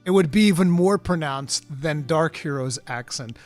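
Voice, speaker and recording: A male live-stream host, a native Portuguese speaker from Portugal, chats casually with his viewers. He is animated and full of quick asides, and his voice sits slightly high over faint background music.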